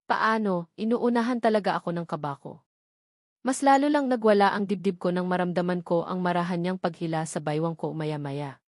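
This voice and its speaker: A woman's voice: fairly low pitch, a little fast, dry recording, clean studio sound. A woman with a Filipino accent reading a scripted first-person romance story as an audiobook narrator.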